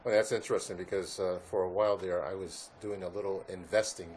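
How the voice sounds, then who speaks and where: A man hosts a business showcase interview in a somewhat low voice. He talks haltingly, full of "uh" and "all right" asides. A faint hiss of background noise sits under him. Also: fairly even intonation, darkish tone. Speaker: host.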